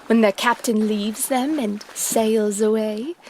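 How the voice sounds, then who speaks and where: Woman acting mischievous young boy hero, cocky, fairly high voice, slow pace, audio drama. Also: fairly even intonation, a little mellow, slight background noise. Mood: matter-of-fact. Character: cocky, mischievous boy adventurer who never grows up.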